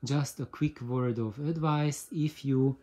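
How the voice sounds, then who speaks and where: A man's voice: medium pitch, steady tone, slow pace, mellow. A man hosting an informal explainer, speaking in a hesitant way and often repeating words as he goes. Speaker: host of an audio hobbyist channel. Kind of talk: informal explainer talk to camera.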